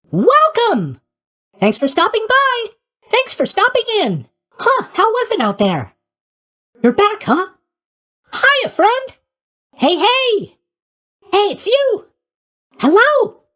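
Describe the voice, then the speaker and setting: Boyish sleepy god, video game character, very high voice, hugely animated intonation, chirpy, naive, tactless. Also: male voice, heavily acted. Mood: cheerful greeting. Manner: bubbly, naive, scatterbrained, casually tactless.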